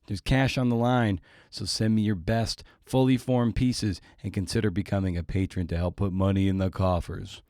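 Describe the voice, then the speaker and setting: A man's voice: lowish voice, steady tone, moderate pace, darkish tone, dry recording, studio quality. A man hosting a podcast, delivering a casual, irreverent intro with self-mocking jokes. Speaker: podcast host.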